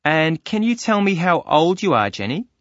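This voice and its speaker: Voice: medium pitch, very animated, moderate pace, dry recording, natural voice. A voice actor playing a friendly club receptionist who fills in a new member's form, chatting easily with small laughs and ums. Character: friendly office clerk taking a new member's details. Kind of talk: scripted listening-test dialogue. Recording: dry, slightly dull studio recording.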